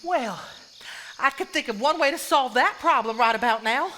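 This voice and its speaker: Woman acting fed-up wife in a stage play, high forceful voice, emphatic, slightly noisy recording. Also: expressive, normal speed. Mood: firm. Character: fed-up, resolute wife at her limit.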